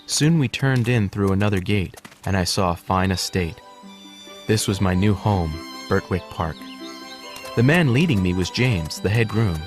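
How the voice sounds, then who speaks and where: A man calmly narrating a children's storybook. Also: sits low, unhurried. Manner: calm, clear reading. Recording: studio narration over music.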